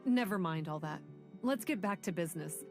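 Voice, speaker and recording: A woman's voice: lowish voice, lively intonation, normal speed. A woman voicing a secretive, half-snake female shrine maiden who speaks in a guarded, evasive way, over game music.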